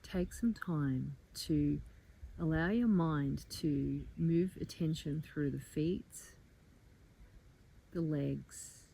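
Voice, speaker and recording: A woman leading a guided meditation in a calm, gentle, inviting manner, with measured phrases and pauses. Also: sits low, gravelly, breathy edge, poor audio. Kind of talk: guided meditation, soft slow instruction.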